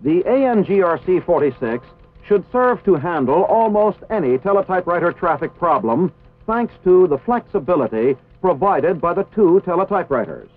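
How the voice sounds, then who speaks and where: A man with an American accent reading formal, scripted instructional narration in a measured, precisely enunciated way. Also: high-pitched, sing-song. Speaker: training film narrator. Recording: tinny phone-like 1960s film soundtrack.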